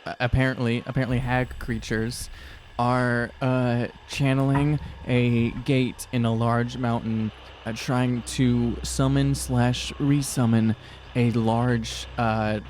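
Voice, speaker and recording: A man's voice: medium pitch, little pitch movement, slow pace, a little mellow, a little hiss or hum. A young man chatting casually and sardonically as a player in a tabletop fantasy game. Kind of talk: casual improvised game chat.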